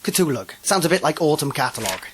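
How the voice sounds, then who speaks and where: A woman with a British accent playing a brusque, sly, deadpan guardian in a comic audio drama. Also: low voice, quick pace, slight background noise. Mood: mock-polite. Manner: deadpan, blunt, mock-polite.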